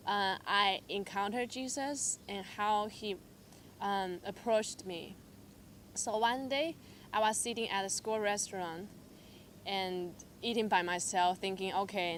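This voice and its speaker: Young woman from taiwan, english speaker, personal testimony, lots of ums and likes, slight background noise. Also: mid-range voice. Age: young adult. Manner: hesitant, filler-heavy storytelling with lively quoted asides. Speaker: church member sharing testimony.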